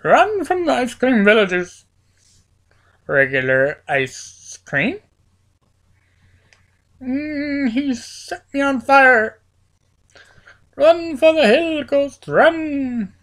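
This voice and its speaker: A woman's voice: sits high, sing-song, extremely slow, long pauses, fairly bright tone. A woman with a cold, her voice cracking, giving playful, rambling commentary over a video game with lots of fillers. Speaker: gaming channel host.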